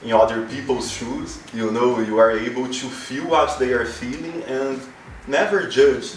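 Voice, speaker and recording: A man's voice: mid-range voice, steady tone, a little slow, slight background noise. A man from Brazil with a Brazilian accent, a journalist guest talking reflectively in a casual interview, often saying "you know". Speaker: guest, journalist and life model. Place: room in a home. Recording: roomy, mic at a distance.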